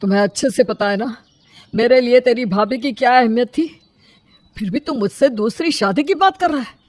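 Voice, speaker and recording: A woman's voice: fairly high pitch, a little mellow, no room sound, close to an everyday voice. A woman voicing a grieving, stubborn widowed elder brother in a storybook tale, mournful and protesting. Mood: hurt, firm refusal. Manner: mournful, protesting, drawn-out phrases.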